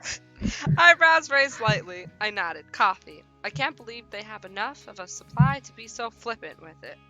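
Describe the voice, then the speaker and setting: A woman streamer reading a visual novel aloud and mixing it with animated, slangy banter, sometimes stumbling over words. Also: a little high, normal speed, dull audio, soft background music. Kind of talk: live playthrough, narration mixed with casual banter.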